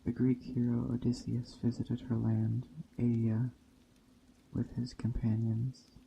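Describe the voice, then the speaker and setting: A man's soft ASMR roleplay voice as a kindly witch host, extremely mellow and dark, with a creaky edge. He speaks slowly and hushed, pausing often. Faint forest and rain ambience sits behind him. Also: medium pitch, monotone, muffled recording, slight background noise. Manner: hushed, unhurried, with long pauses. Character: gentle, hospitable witch by a campfire.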